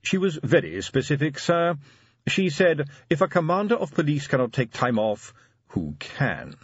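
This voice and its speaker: Man acting a formal, unflappable butler, lilting pitch. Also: big pitch swings, slightly rough, no echo, highs cut off. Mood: polite, formal. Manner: precise, measured, politely dry. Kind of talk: audiobook character acting.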